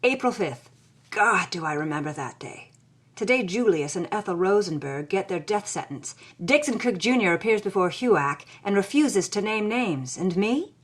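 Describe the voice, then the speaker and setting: A woman's voice: mid-range voice, lively intonation, normal speed, husky. An actress playing a hard-boiled young woman who recounts her past in a wry, anguished audio drama narration. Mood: dramatic, wry.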